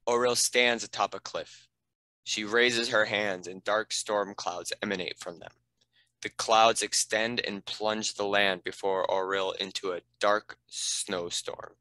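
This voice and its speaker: A man's voice: lowish voice, fairly even intonation, measured pace, pushed and bright, no room sound, low-quality recording. A man narrating the action and stage directions of a script.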